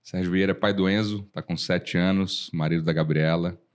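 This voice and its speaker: A Brazilian man in his forties, a lawyer guest on a podcast, telling stories in a lively way and acting out old conversations. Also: sits low, fairly quick. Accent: Brazilian Portuguese. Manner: animated storyteller, acts out dialogue, frequent restarts. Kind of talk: casual storytelling interview.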